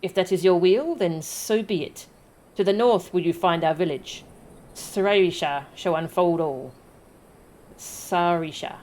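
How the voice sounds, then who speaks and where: A woman's voice: lowish voice, a little slow, darkish tone, slight background noise, close to an everyday voice. A solemn guide of a fantasy game tribe, put on by a woman. The delivery is formal, stately and terse, with music in the background.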